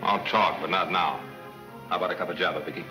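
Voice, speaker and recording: Low-voiced, fast-talking 1940s serial detective. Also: male voice, steady tone. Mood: calm, firm. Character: shrewd, determined male police detective running a secret plan. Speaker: film actor. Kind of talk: 1940s movie serial acting. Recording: old 1940s film soundtrack, music behind.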